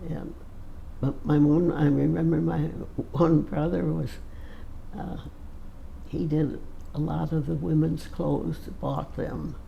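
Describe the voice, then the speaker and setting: A woman of about a hundred recalling old family stories in a slow, halting way, with many pauses and fillers. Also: low voice, level tone, creaky, lo-fi sound, slight background noise. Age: 100s. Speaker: interviewee. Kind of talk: casual storytelling.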